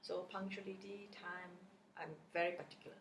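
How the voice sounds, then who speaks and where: A woman's voice: mid-range voice, little pitch movement, fairly quick. A woman with a Malaysian accent giving casual interview answers as a college lecturer, often chuckling between phrases. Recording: roomy echo, background noise.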